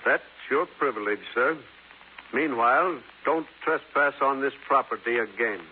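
A man's voice: mid-range voice, natural voice. Man as a stubborn older sheriff on tinny radio. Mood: stern, hostile. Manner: formal, measured, unyielding. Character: silver-haired county sheriff, firm, courtly, protective, stubborn. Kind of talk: 1950s radio drama acting. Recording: narrow hissy 1950s radio broadcast.

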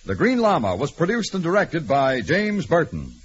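A man's voice: a little high, huge pitch swings, normal speed, heavy background noise. A man announcing from a script in 1940s radio style. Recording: dull old radio recording, loud music. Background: loud music.

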